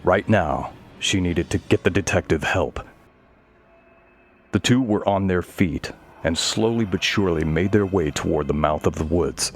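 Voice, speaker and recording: A man tells a horror tale in a very low voice. His delivery is measured and dramatic, with each line read as scripted prose. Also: slight background noise. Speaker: storyteller. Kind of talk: scripted horror story narration.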